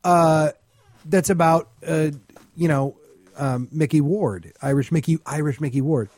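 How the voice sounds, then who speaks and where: High male voice, dry studio sound, blunt, scornful, emphatic, sweary comedic ranting, podcast guest. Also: measured pace, a little mellow, no room sound. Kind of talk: profane comedic ranting, casual chat.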